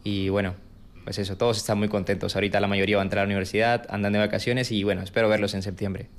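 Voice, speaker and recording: A man's voice: on the low side, little pitch movement, racing. A young man from Colombia with a Colombian accent, answering interview questions modestly and hesitantly, often repeating words as he restarts. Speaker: interviewee, refugee and private tutor.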